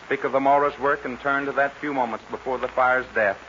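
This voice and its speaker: Bright-voiced folksy old-time radio western announcer, male. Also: medium pitch, fairly even intonation, brisk. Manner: folksy showman delivery. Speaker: radio show announcer and narrator. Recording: noisy dull 1940s radio recording.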